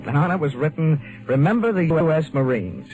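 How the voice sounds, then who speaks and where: An American man playing a cool, flirtatious hardboiled investigator with wry, deadpan delivery in a 1950s radio drama. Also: on the high side, a little fast, over music, close to an everyday voice, poor audio. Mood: smooth narrating. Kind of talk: 1950s radio detective drama acting, first-person narration.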